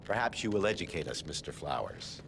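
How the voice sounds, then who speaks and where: An actor playing a suave, grandiose leader of a secret society, addressing guests in a dramatic, measured speech in a video game. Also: male voice, a bass voice, sing-song, gravelly, background noise, exaggerated voice. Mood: courteous, smug. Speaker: villainous host addressing guests. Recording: music underneath.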